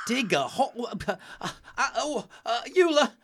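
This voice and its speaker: A man's voice: very high-pitched, sing-song, unhurried, heavily acted. A man playing an awkward, nervous boyfriend in a comic radio play, stammering and flustered. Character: panicky, awkward Midwestern boyfriend, freshly dead without knowing. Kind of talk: comic radio theater acting.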